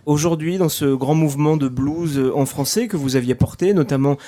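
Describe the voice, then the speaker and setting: A man's voice: fairly high pitch, fairly quick, mellow. A man hosting a radio show, asking long questions with frequent hesitations and restarts. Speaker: radio host. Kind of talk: radio interview.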